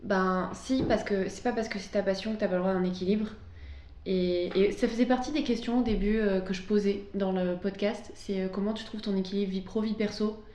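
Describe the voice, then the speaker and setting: A woman's voice: medium pitch, fairly even intonation, brisk, mellow. A woman hosting a podcast, speaking casually and conversationally as she interviews a guest. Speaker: podcast host interviewing a craftsman. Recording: roomy, echoing space.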